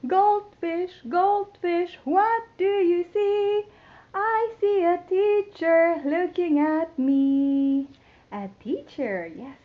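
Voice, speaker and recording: A woman hosting a children's storytime, reading a picture book in a playful, expressive sing-song way for very young children. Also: extremely high pitch, extremely slow, narrow, tinny audio. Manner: imitates animal sounds.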